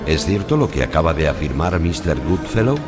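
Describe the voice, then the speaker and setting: Stern judge in a radio play, low commanding voice, swings a lot, music under. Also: male voice, big pitch swings, a little fast. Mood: formal, probing. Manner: formal, authoritative. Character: stern, formal male judge questioning in court. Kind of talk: audio drama acting.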